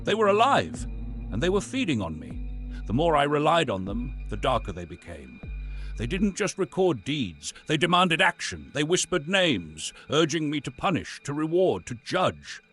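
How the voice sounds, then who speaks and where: A man playing a weary, tormented, sinister old Santa, narrating a horror confession in a brooding, deliberate, theatrical way. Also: on the high side, huge pitch swings, fairly bright tone. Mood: ominous. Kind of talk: dramatic horror monologue narration. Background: music.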